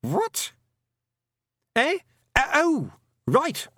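A man's voice: extremely high pitch, wildly swooping pitch, drawn-out words, pushed and bright, no room sound, studio quality, voice pushed up, heavily acted. A man voicing a bewildered young boy in a storybook, sounding confused and urgent. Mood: puzzled. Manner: urgent, confused, mumbling or calling out.

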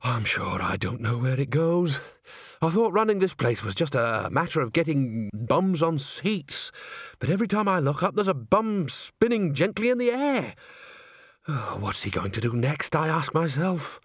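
A man's voice: on the high side, sing-song, normal speed, husky, no echo, studio quality, pitched above the performer's own voice, heavily acted. A man voicing a fretful, indignant theatre owner in an audiobook, stammering and wailing with exasperation. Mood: exasperated.

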